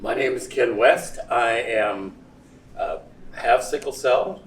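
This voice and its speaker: A man's voice: medium pitch, takes it slowly. Man in his sixties, echoey room. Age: 60s. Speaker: panelist, task force president. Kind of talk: unscripted panel self-introduction. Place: indoor event venue, panel talk. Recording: echoey room, distant mic, faint noise.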